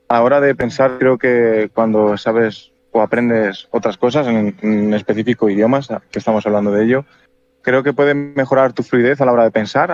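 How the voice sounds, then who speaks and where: A man, co-hosting a podcast and thinking aloud in a reflective, unhurried way. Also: mid-range voice, darkish tone. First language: Spanish. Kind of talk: casual chat. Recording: dull, muffled sound.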